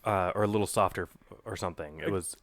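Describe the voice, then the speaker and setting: A young man with a slight cold and nasal voice, co-hosting a casual podcast chat, often halting and restarting his sentences. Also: low-pitched, a little hiss or hum. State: getting over a cold, a little nasal. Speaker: podcast co-host. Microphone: podcast microphone.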